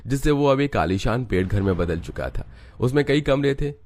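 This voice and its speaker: A man's voice: on the low side, a little mellow, slight background noise. A man narrating a family story in a scripted storybook style.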